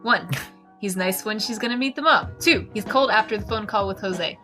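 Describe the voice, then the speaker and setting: A woman hosting a casual book-discussion podcast, animated and opinionated, peppering her talk with "like". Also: mid-range voice, normal speed, soft background music. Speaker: podcast host.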